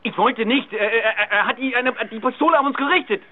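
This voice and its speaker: A man in a German radio drama playing a flustered, stammering policeman in shock. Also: very high-pitched. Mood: shocked panic. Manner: halting, stammering, shaken. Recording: tinny narrow band, clear noise.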